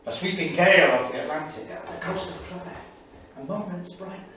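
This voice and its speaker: A man's voice: high voice, moderate pace, lightly acted. A man playing a bitter, grieving 1938 academic, reading his journal aloud in a solemn, literary, emphatic way on stage. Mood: haunted. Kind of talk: staged dramatic reading of diary entries. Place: small studio theatre, staged reading. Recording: echoey theatre, distant mic, faint noise.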